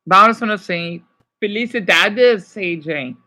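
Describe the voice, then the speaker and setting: A man chatting casually to live viewers as a streamer, rambling and halting as he jumps between thoughts. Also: sits high, bright, forceful tone. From United States.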